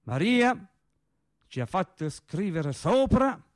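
An actor playing a naive working-class man in a radio drama monologue, telling his sad story in a colloquial, halting, wry way. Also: high-pitched, very animated, no echo, clean studio sound, heavily acted. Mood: agitated, bitter. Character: naive working-class man recounting his cancer and death, resigned, tender.

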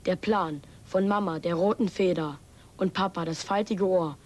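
Woman doing a kid acting like a wise old chief, radio play, solemn n grand, lowish pitch, faint rumbly noise. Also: moderate pace, darkish tone, lightly acted. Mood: solemn, ceremonial. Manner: solemn, ceremonial, mock-grand.

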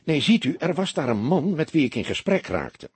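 Man playing an earnest businessman, expressive pitch. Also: moderate pace, slightly rough, dry recording, heavily acted. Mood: amused, chatty. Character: earnest, round-faced businessman giving his account.

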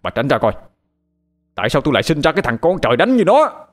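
A man voicing a stern middle-aged father who scolds gruffly. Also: sits low, brisk, faint music behind, close to an everyday voice. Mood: furious scolding.

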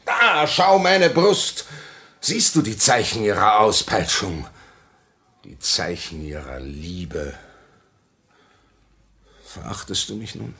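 A low male voice with wide, sweeping intonation, a revolutionary hardened by prison and full of hatred. He declaims fiercely and intensely, in the theatrical style of 1950s German radio plays. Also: lively intonation, slow, pauses often, bright, forceful tone, full character voice. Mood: bitter defiance.

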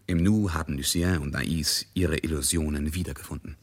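Deep-voiced male radio drama narrator, emphatic. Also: steady tone, breathy edge, heavily acted. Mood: dramatic narrating. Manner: measured, emphatic, literary.

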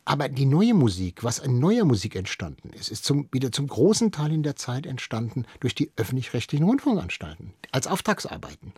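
Husky man in a dry studio. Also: mid-range voice, very animated, brisk, few pauses, a little mellow, no room sound. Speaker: radio expert guest. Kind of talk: explanatory interview talk.

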